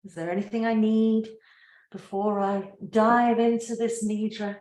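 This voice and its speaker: A woman teaching yoga nidra, guiding a relaxation gently and without hurry, with long pauses between phrases. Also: medium pitch, flat delivery, echoey room. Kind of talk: guided relaxation meditation.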